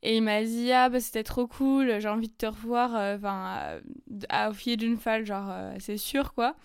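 Young woman podcaster, casual and chatty storyteller, lots of fillers; crisp tone, dry recording. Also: a little high, fairly even intonation, no echo. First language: French. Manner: chatty, many fillers and quick asides. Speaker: podcast host. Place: lives in Germany.

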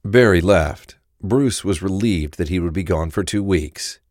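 Deep-voiced man narrating crime fiction. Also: measured pace, a little mellow, no echo, studio quality. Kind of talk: scripted crime story narration.

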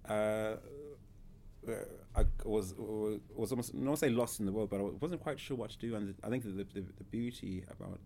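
Man in his thirties, very low gravelly voice, hesitant, faint background noise. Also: moderate pace, dark tone. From Zimbabwe. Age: 30s. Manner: hesitant, many fillers and restarts. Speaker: podcast guest. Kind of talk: casual interview.

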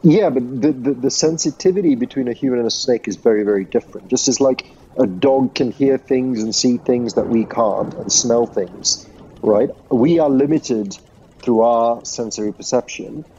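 A man's voice: medium pitch, normal speed, dark tone, dull audio, a little hiss or hum. A man who grew up in Sri Lanka, co-hosting a podcast and arguing earnestly in a rambling way, with lots of fillers. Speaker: podcast co-host. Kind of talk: casual debate.

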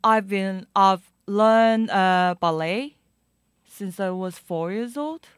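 A young woman with a Korean accent, a guest in an interview, speaking halting English with many fillers. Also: mid-range voice, smooth, no room sound. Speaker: guest musician and DJ. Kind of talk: casual interview.

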